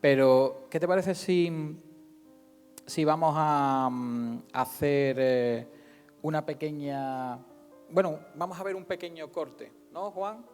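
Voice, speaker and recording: A man's voice: on the high side, slow pace, a little mellow. A man presenting an event, interviewing informally in a hesitant, repetitive way. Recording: echoey hall with music behind.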